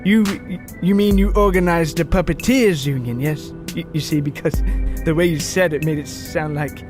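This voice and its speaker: A man's voice: fairly high pitch, music in the background, voice pushed up, close to an everyday voice. A man playing a fussy, nervous older British husband. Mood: curious chatty. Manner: fussy, halting, chatty. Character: nervous, rambling, eccentric older British husband, fearful of flying.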